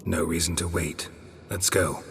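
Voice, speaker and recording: A very deep-voiced, stoic game hero, gruff and sparing with words. He delivers everything with dry, wry flatness. The recording carries a long reverb and clear background noise. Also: unhurried. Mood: wry, deadpan. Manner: terse, dry, deadpan. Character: gruff, stoic, wry male monster hunter. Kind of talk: video game dialogue.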